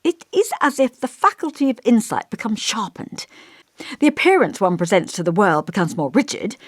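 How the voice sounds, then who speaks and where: A woman's voice: medium pitch, big pitch swings, normal speed, no room sound, clean studio sound, pitched above the performer's own voice. A woman voicing an elderly male village vicar in an audiobook, kindly, long-winded and fussy, with a pompous, old-fashioned, musing manner. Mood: musing, gentle. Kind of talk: audiobook character dialogue.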